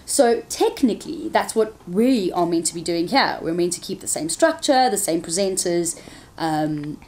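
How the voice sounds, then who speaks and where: A woman's voice: lowish voice, animated, moderate pace, a little mellow. A woman, a TV presenter answering interview questions frankly, with laughing asides. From South Africa.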